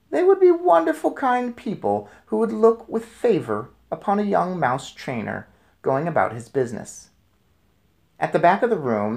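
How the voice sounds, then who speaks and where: A man narrating a children's storybook aloud in an expressive read-aloud style. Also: mid-range voice.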